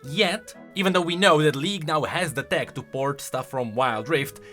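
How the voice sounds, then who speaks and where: A man's voice: fairly high pitch, moderate pace, bright, forceful tone, faint music behind. A man hosting casual video game commentary, emphatic and thinking aloud as he goes. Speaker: video creator and host.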